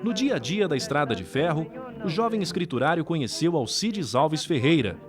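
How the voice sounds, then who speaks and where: A man's voice: a little high, lively intonation, moderate pace, music in the background. A Brazilian man reading scripted documentary narration.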